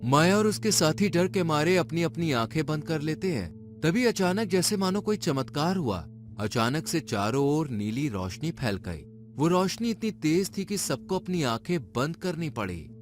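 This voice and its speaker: Animated male story narrator over music. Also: a little high, normal speed. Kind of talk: dramatic scripted horror story narration. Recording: music behind the voice.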